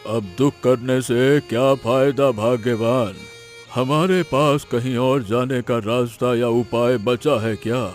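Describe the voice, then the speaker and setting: A man acts out an elderly village father in a moral cartoon. He is weary and fatalistic, lamenting his lot at a medium male pitch. Music plays softly behind him. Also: steady tone, takes it slowly, natural voice. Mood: weary, consoling. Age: older. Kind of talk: Hindi moral cartoon story dialogue.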